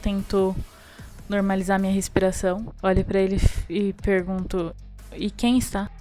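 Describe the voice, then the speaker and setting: A Brazilian woman playing a tabletop game, describing her character's actions in first person with a halting delivery. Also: mid-range voice, flat delivery, mellow, faint music behind. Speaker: tabletop roleplay player. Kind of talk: first-person roleplay narration.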